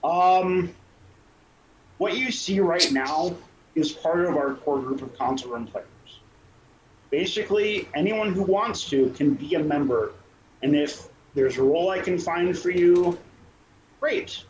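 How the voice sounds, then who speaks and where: A man's voice: a little high, unhurried. A man speaking casually on a panel as a director, rambling and often rephrasing himself. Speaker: radio play director and troupe leader. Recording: roomy dull sound with faint noise.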